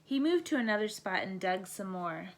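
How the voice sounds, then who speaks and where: A woman's voice: mid-range voice, animated, normal speed, a little hiss or hum. A woman reading a children's bedtime story aloud as a storybook narrator. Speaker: storybook reader.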